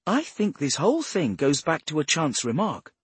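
A man's voice: on the high side, huge pitch swings, normal speed, slightly rough, no room sound, pitched above the performer's own voice, exaggerated voice. A man voicing an earnest, brainy young woman analyst who explains things precisely and insistently in an audiobook.

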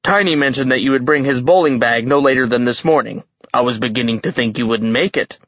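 A man's voice: medium pitch, brisk, a bit bright, no room sound, muffled recording, close to an everyday voice. A man voicing a commanding giant king in a fantasy audiobook, genial, showy and slyly menacing. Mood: sly politeness.

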